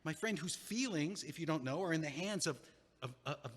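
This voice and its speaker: An actor playing a flustered middle-aged lawyer after a breakup, stammering, wordy and defensive, in an audio drama. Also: male voice, a little high, lively intonation, brisk, husky, in a large hall. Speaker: attorney.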